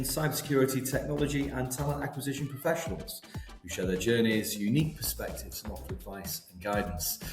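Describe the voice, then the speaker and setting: A man's voice: mid-range voice, a little mellow. Man reading a podcast intro, echoey. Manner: formal, upbeat read. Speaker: podcast host. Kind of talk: scripted show introduction. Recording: echoey room with faint music.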